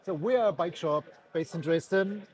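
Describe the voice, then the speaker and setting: A man from a bike shop, calmly explaining custom builds at a busy indoor trade fair, with a few fillers. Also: a little high, normal speed. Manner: calm, informative, a few fillers. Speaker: bike shop staff, guest. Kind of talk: explaining products at an expo.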